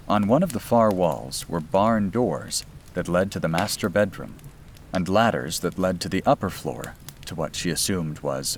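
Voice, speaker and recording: A man reading a scripted bedtime story as its narrator. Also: deep voice. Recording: clear background noise and ambience.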